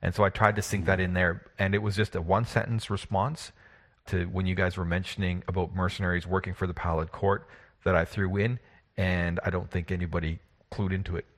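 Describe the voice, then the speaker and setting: A deep-voiced man who runs tabletop games, chatting loosely with friends. He tells stories with animated energy, repeats himself a lot and swears casually. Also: brisk. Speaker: game master and podcast host. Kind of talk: casual chat.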